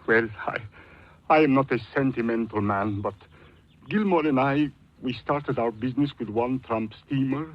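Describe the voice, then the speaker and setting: An actor playing a grave, wealthy immigrant businessman in his forties, halting and formal, in 1940s radio drama. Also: medium pitch, lightly acted. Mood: halting, solemn. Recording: dull lo-fi vintage radio recording.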